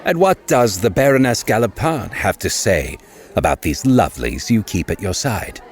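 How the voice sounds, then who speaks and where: An actor playing a haughty aristocratic lord in an audiobook, creaky-voiced, stiff and coolly condescending in his politeness. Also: fairly low pitch, very animated, moderate pace. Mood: stiff, coolly polite. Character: haughty, cool aristocratic lord, host of a ball. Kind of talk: full-cast audiobook acting.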